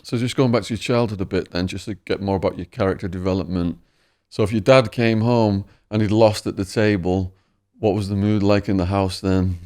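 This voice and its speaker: Male podcast host, lowish voice, calm probing interviewer. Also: brisk.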